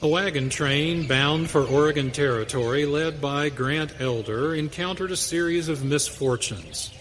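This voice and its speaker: A man acting as narrator of an Old West radio adventure, reading scripted story narration in a measured way. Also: on the high side, fairly bright tone. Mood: measured, storytelling. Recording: reverberant hall, faint noise.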